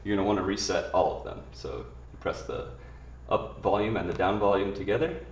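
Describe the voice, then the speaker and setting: Male tutorial presenter, low-pitched, plain informal delivery, echoey room sound with faint background noise. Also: steady tone, brisk, recorded from a distance. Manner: plain, informal spoken explanation. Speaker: product demonstrator. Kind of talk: instructional product tutorial, step by step.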